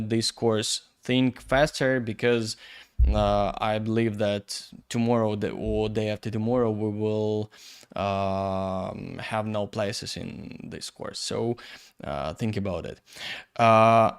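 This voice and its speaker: A man who is not a native English speaker, teaching a software tutorial and thinking aloud while he works, with many fillers and restarts. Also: fairly low pitch, clean tone. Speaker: 3D visualization instructor. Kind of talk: screen-recorded software tutorial.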